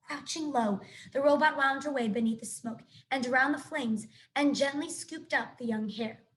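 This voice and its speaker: Expressive woman reading a children's book. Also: sits high, normal speed, rough recording. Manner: expressive storytelling. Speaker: teacher reading aloud. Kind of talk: children's book read-aloud.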